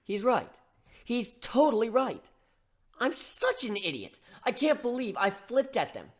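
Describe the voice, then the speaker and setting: A man's voice: extremely high pitch, wildly swooping pitch, measured pace, voice pushed up, full character voice. A man playing a guarded, self-reproaching young man who confesses his faults in a dramatic story reading. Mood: frantic self-reproach. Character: remorseful, emotionally guarded young male protagonist of a story.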